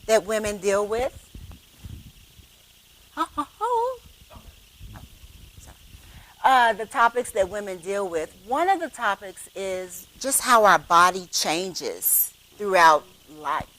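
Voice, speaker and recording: A woman's voice: mid-range voice, very animated, slow pace, long pauses, rough recording. A woman in her fifties hosting a church panel discussion, conversational and often checking in with the room. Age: 50s. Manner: warm, conversational, checks in with "okay?". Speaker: host, moderator of a women's panel.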